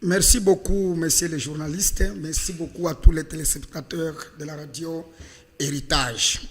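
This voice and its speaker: A man's voice: a little high, steady tone, normal speed, fairly bright tone. A man with a Congolese accent speaking as a political panel guest in a formal, declamatory way. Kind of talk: political debate interview. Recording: reverberant hall-like room.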